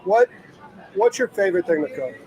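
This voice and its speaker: A man's voice: high-pitched, very animated, brisk, crowd noise. A man hosting a live vlog from an outdoor boat show, upbeat and conversational. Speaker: host.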